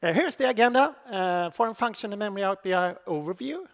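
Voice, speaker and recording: A middle-aged man with a Swedish accent presents a technical talk on a conference stage. His voice sits fairly high with a gravelly edge, and he talks haltingly, often pausing on fillers. The sound carries a long reverb from the large hall. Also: lively intonation, fairly bright tone. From Sweden. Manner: halting, with frequent "uh" fillers and restarts. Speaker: conference speaker.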